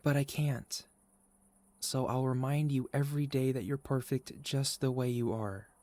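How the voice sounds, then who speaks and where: Slow, soft-spoken, tender boyfriend played by a man. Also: medium pitch, steady tone, close to an everyday voice. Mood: tender, reassuring. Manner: soft, tender, reassuring, playful. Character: sweet, caring, gently teasing boyfriend comforting an anxious partner. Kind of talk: ASMR boyfriend roleplay, intimate scripted monologue.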